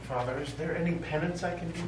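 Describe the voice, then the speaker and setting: A middle-aged former soldier played by a male actor speaks with hesitant, hopeful remorse. His fairly high voice reaches a distant microphone through theatre echo and slight noise. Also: fairly even intonation, fast talker, close to an everyday voice. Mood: remorseful. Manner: apologetic, hesitant, earnest. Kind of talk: stage play acting. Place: small theatre stage, recorded from afar. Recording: echoey stage, distant mic, faint noise.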